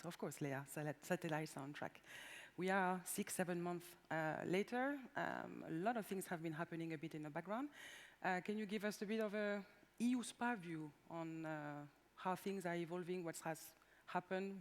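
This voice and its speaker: A woman with a fairly low voice moderates a conference panel and introduces the speakers. She hesitates often and fills pauses with "uh". Her voice carries a long hall reverb. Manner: hesitant, many fillers.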